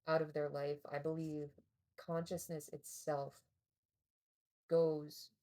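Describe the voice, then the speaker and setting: A woman co-hosting a casual podcast chat, sharing thoughtful opinions in a relaxed, hedging way. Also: low-pitched, takes it slowly. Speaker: podcast co-host.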